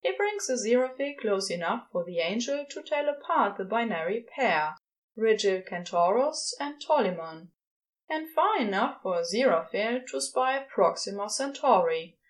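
A woman reading a fan fiction story aloud as expressive scripted narration. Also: mid-range voice, low-quality recording. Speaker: podfic reader.

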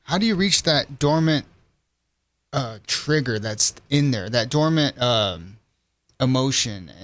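A man hosting a show, chatting casually and feeling out his questions in informal, halting phrases. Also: mid-range voice, expressive, a bit bright. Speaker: show host, interviewer. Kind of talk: casual chat.

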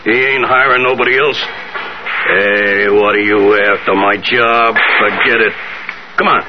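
A man's voice: lowish voice, steady tone, unhurried, close to an everyday voice. Blunt chauffeur, acted by a man, muffled noisy radio. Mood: skeptical, dismissive. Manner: slangy, blunt. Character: working-class male chauffeur, wary, dismissive pool-playing pal. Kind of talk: 1970s radio drama acting. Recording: dull, noisy 1970s radio recording.

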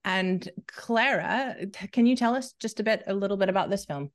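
A woman hosting a community radio film show, introducing reviews in a relaxed way with fillers. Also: mid-range voice, normal speed, crowd noise. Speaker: show host. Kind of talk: casual radio chat. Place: radio studio.

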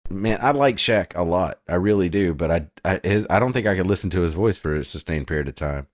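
A man co-hosting a podcast in casual chat, blunt, crude and opinionated, with a deep voice. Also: animated, brisk, dry recording, clean studio sound. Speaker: podcast co-host.

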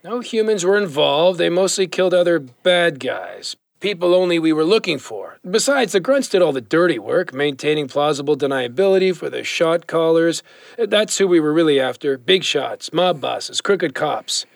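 A man's voice: fairly high pitch, animated, normal speed, creaky, dry recording. A man playing a weary, guilt-ridden former cop and compulsive gambler in an audio drama, brooding and confessional in manner. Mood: grim.

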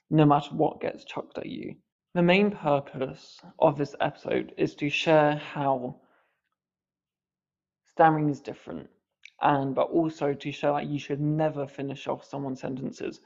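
Earnest podcast host, gravelly, muffled. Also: male voice, a little high, a little mellow. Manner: earnest, mild stammer on odd words. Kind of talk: reflective podcast commentary.

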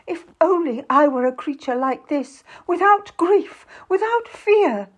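Woman acting a histrionic, high-pitched young noblewoman. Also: big pitch swings, unhurried. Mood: distraught. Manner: melodramatic, imploring. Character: histrionic tearful young noblewoman, pleading and scheming.

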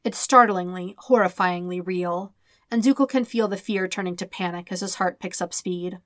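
A woman's voice: fairly low pitch, moderate pace, a bit bright, no echo, clean studio sound. A woman narrating a story in a dramatic, scripted audiobook style. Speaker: fan fiction narrator.

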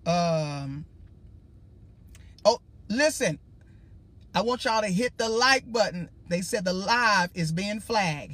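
A man with a naturally high-pitched, bright, ringing voice talks straight to his livestream audience. He preaches in a loose, emphatic way, rambling and circling back as he speaks his mind. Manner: emphatic, rambling, addresses viewers directly. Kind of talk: casual livestream talk.

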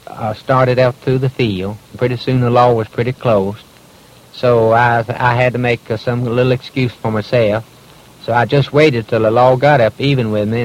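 A man with an East Tennessee accent, halting and folksy, recalling events he witnessed. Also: medium pitch. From Tennessee, United States. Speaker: eyewitness. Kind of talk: unscripted personal recollection. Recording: noisy old field interview recording.

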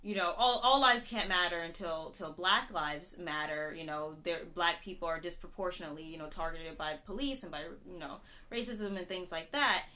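A woman's voice: fairly low pitch, moderate pace, few pauses, sharp tone. A young woman guest on a podcast, talking earnestly and casually, with many restarts and "you know"s. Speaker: podcast guest, protest organizer. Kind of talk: casual conversational interview.

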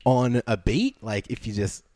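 A man's voice: medium pitch, very animated, measured pace, mellow, dry recording. An Australian man casually recapping a show from home, laid-back and sarcastic with lots of ums. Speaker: podcast co-host.

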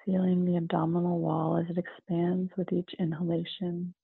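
A woman leading a guided meditation in a calm, soothing manner, with gentle pauses between phrases. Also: fairly low pitch, vocal fry, slightly rough, dry recording. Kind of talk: slow guided meditation narration. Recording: narrow phone-like, muffled sound.

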